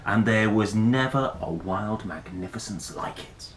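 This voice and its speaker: A man hosting a children's read-aloud, telling a picture book in a playful, expressive, dramatic way with chatty asides. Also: fairly low pitch, measured pace. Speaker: host reading picture books aloud. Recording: roomy echo, faint background noise.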